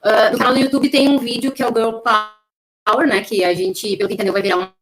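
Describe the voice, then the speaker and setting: A woman's voice: on the high side, steady tone, fairly quick, fairly bright tone, faint music behind. A woman, asking questions conversationally as a podcast interviewer.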